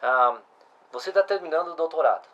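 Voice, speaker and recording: A man's voice: fairly high pitch, lively intonation, fairly quick, pushed and bright, muffled recording, a little hiss or hum. A middle-aged man, hosting a casual interview and asking follow-up questions. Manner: conversational, restarts and asks follow-up questions. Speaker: host.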